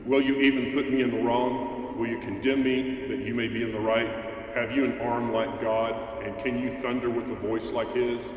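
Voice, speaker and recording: A man's voice: mid-range voice, flat delivery, normal speed, lightly acted. A man playing a stern, majestic God who commands and challenges in a dramatized scripture reading. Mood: commanding. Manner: commanding, rhetorical questioning. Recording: very echoey hall, distant mic, faint noise.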